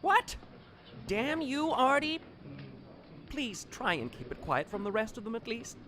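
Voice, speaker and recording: An actor playing a suave but easily exasperated radio show host, in a theatrical, old-time radio drama manner. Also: male voice, very high voice, sing-song, measured pace, sharp tone, a little hiss or hum, full character voice. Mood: exasperated, hushed. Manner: theatrical, polite in front, snippy aside. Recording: music behind the voice.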